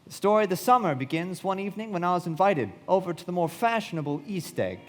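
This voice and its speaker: Casting: reflective young bond man narrating a radio play, lively higher voice, reverberant hall. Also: quick pace, soft background music. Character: reflective well-bred young bond salesman narrating his memories. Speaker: lead actor and narrator. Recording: echoey hall, live stage.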